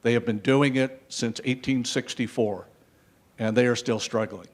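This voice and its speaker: A man's voice: medium pitch, steady tone, moderate pace. Older man lecturing on a panel. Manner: lecturing, wry asides with frequent fillers. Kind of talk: conference panel talk. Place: conference stage, seated. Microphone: handheld mic.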